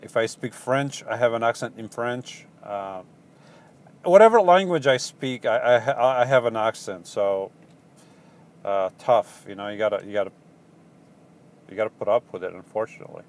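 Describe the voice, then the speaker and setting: A man with a Portuguese accent and an ordinary mid-range voice chats loosely as a van-life vlogger. He answers viewer questions in a halting, rambling way, stopping often for ums and starting his sentences over. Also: medium pitch, slight background noise. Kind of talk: casual live Q&A chat.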